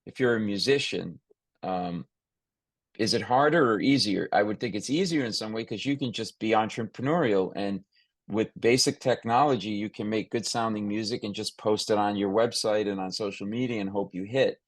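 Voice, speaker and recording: A man's voice: on the low side, moderate pace, dry recording, clean studio sound. An American man hosting a conversational podcast interview, talking emphatically and often restarting and repeating his phrases.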